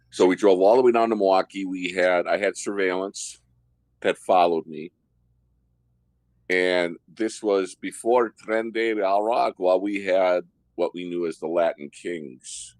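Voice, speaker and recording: A man hosting a podcast, telling a detailed story about his undercover work in a steady way. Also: sits low, no room sound. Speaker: host, former undercover officer.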